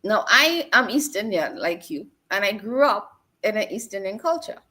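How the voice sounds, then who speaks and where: A woman's voice: mid-range voice, big pitch swings, moderate pace, pushed and bright. Woman, middle-aged, from Trinidad and Tobago, earnest, reflective storytelling. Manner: earnest, personal storytelling with repeated phrases. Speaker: guest. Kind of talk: reflective interview talk.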